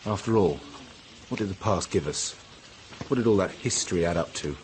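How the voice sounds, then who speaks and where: A man's voice: low-pitched, expressive, normal speed, a little mellow, slight background noise, poor audio. A British actor playing a smug, crude, complacent young man in a radio drama, with a blasé, flippant manner. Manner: blasé, flippant, casually boastful.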